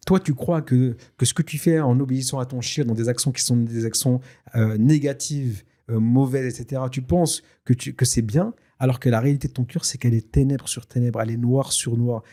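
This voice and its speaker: A man whose first language is Tashelhit, telling a personal story in a casual, lively way with many fillers and restarts. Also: mid-range voice. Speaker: guest telling his story. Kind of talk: casual spoken testimony.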